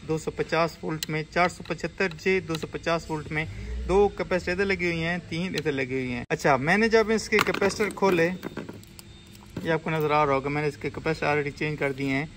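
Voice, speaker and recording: A man's voice: on the high side, fairly even intonation, normal speed. A man explaining a hands-on repair step by step as an informal video tutorial. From Pakistan. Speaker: repair technician and video host. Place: indoor repair workshop. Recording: clear background noise in a workshop.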